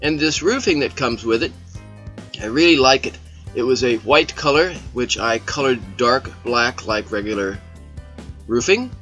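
A man's voice: medium pitch, animated, unhurried, fairly bright tone, lo-fi sound, soft background music. A man narrating a hobby build tutorial in an enthusiastic, chatty way, often asking the viewer questions. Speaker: model railroad hobbyist host. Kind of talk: casual voice-over over a build tutorial.

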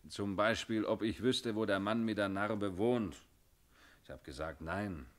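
Man acting deep-voiced shifty barman in a radio crime play, guarded, a bit cheeky, answers in short bursts. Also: unhurried, natural voice. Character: evasive barman under police questioning, cheeky, guarded.

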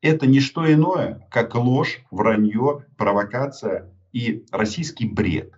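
A man in his thirties with a Ukrainian accent, arguing forcefully in an interview over a video call and pressing his points with rhetorical questions. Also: medium pitch, expressive, slow pace. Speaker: journalist being interviewed. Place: indoor room with a poster on the wall. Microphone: webcam on a video call. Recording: dull lo-fi video call audio.

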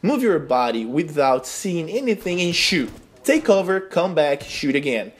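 Brazilian-accented man narrating a game tutorial. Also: a little high. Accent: Brazilian Portuguese. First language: Portuguese. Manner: earnest, simple instructional phrasing with non-native grammar slips. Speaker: gaming channel host.

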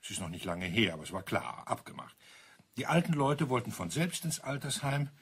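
An actor playing a composed older retired colonel in a radio crime drama, explaining things in a measured, dryly wry way with a husky voice. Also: male voice, medium pitch, big pitch swings, a little hiss or hum. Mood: matter-of-fact.

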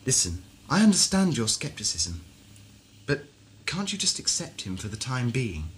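A man's voice: mid-range voice, sing-song, normal speed, lightly acted. A man playing a reasonable, questioning travelling companion with a conciliatory manner in an amateur audio drama. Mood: concerned. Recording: slightly noisy 1980s home-made audio drama.

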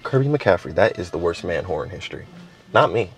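A man's voice: low voice, expressive, fairly quick, music in the background. A man playing a cocky, wisecracking hired friend who makes joking threats in slang. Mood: brash.

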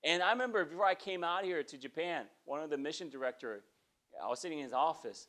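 A man's voice: fairly high pitch, fast. A man in his forties preaching a sermon in a small church, talking conversationally and often checking in with "okay?". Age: 40s. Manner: conversational preaching, frequent "okay?" check-ins, animated gestures. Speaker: pastor. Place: small church sanctuary, indoors.

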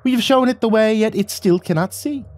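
A man's voice: high voice, fairly quick, a little mellow, dry recording, pitched above the performer's own voice, close to an everyday voice. A man voicing a tiny, imperious sprite king who speaks in pompous, scornful, archaic proclamations in a fantasy story. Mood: exasperated.

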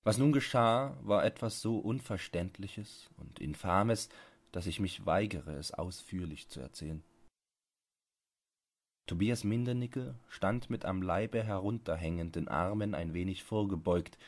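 A man narrating a literary bedtime audiobook, reading with measured, deliberate phrasing. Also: deep voice, husky.

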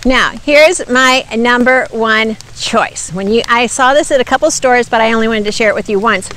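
A woman's voice: a little high, normal speed, a bit bright, rough recording. A woman in her fifties reviewing products to camera outdoors, explaining in an earnest, emphatic teaching manner on a lapel mic. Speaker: nutrition ministry host. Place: outdoors by woods.

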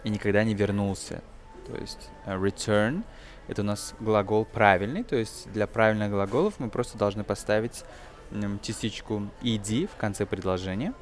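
A young man in his twenties with a fairly low voice teaches English informally. He explains hesitantly, one phrase at a time, while music plays clearly behind him. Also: normal speed. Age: 20s. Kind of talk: informal film-based language lesson.

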